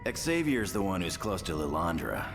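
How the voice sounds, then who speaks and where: An actor playing a gruff, wry superhero brawler in a game, with a gravelly voice and laconic, streetwise delivery. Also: male voice, deep voice, big pitch swings, moderate pace, over music. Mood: wry, suggestive.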